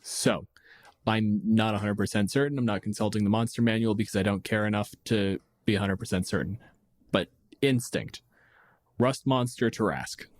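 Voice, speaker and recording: A man's voice: lowish voice, moderate pace. A man co-hosting a podcast, chatting casually about tabletop games in a blunt, profane, joking way. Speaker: podcast host.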